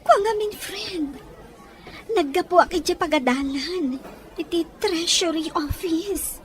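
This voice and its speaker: A woman's voice: extremely high pitch, slow, slight background noise. A woman with an Ilocano accent acting a dutiful, worried young student in a radio drama. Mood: subdued.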